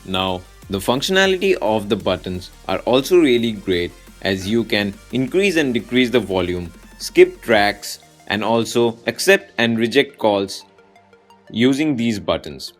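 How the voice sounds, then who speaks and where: A man's voice: lowish voice, very animated, a little slow. A man presenting a scripted product review as a video reviewer, in narration style. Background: background music.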